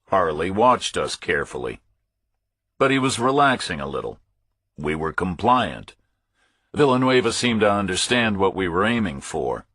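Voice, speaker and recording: Deep, bright-voiced man narrating a thriller. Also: slightly rough, no echo, studio quality. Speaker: audiobook narrator. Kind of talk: scripted first-person thriller narration.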